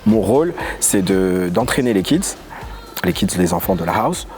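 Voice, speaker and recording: A young man from Paris, a dance guest in a casual interview who talks in a lively, playful way with quick asides. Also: sits low. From Paris, of Congolese origin. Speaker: guest, voguing dancer and house leader. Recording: faint music underneath.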